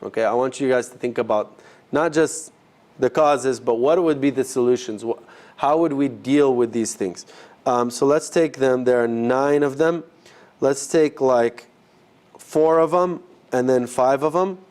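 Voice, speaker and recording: Male ministry trainer, mid-pitched, informal classroom delivery, halting and conversational. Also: a little mellow. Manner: conversational, halting, rephrasing as he goes. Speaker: ministry trainer teaching a group. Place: live session with a group present.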